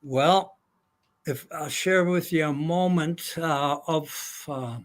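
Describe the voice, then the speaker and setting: An older man from Germany telling his life story, halting with many fillers. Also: fairly high pitch. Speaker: guest. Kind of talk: casual storytelling.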